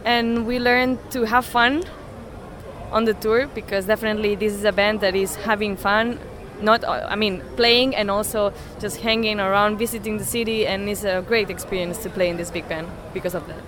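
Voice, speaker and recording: A woman's voice: medium pitch, steady tone. Young woman from Spain, street noise. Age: 20s. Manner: halting, restarts often, non-native English. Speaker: interviewee, saxophonist. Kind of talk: casual interview answers. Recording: noisy street background.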